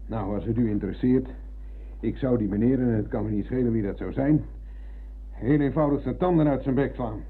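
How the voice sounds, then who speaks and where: An actor playing a proud, touchy older comrade and former prisoner of war, insistent and emphatic, in a Dutch radio drama. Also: male voice, mid-range voice, fairly quick, slightly rough. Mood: indignant. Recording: muffled, dull old radio recording.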